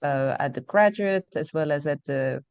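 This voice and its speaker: Professor lady on a call from a coffee shop, lowish smooth voice, kinda muffled dry audio. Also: normal speed, darkish tone, no echo. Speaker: adjunct professor. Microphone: video call.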